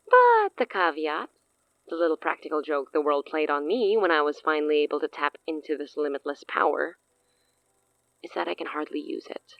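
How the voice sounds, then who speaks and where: A grandiose sorceress from a horror audio drama, savors every eloquent word. Her voice is low and creaky, dropping into hushed asides, and she sounds privately amused. The recording is dry and slightly dulled. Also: no room sound, lo-fi sound. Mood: wry, troubled. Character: grandiose, amused, long-lived rich woman sorcerer.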